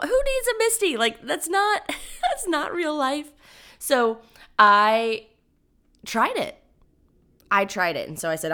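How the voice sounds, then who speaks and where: A woman hosting her own podcast, talking alone in a low, raspy voice, emphatic and a little high-strung. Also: a bit bright. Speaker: podcast host. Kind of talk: solo podcast monologue.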